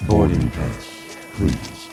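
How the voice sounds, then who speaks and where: An actor playing an imperturbable, insistent alien usher in a radio drama, formal, polite and measured. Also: male voice, very deep voice, animated, music in the background, heavily acted.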